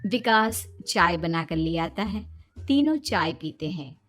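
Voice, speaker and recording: A woman's voice: fairly low pitch, lively intonation, slow pace, a bit bright, faint music behind. A woman narrating a scripted children's story.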